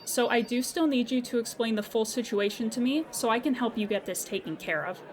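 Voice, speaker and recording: A woman playing a patient, courteous insurance customer service agent in a scripted audio comedy, speaking formally and with measured politeness. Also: a little high, natural voice. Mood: patient, apologetic. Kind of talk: scripted comedy audio drama phone call.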